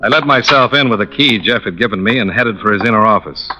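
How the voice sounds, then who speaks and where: A man's voice: on the low side, fast, muffled recording, music in the background, natural voice. An actor playing a cool, probing male insurance investigator in old-time radio style, with an American accent and clipped, dry, questioning delivery on an old recording. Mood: matter-of-fact narrating. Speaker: actor playing the lead in a radio detective drama. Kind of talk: old-time radio detective acting and first-person narration.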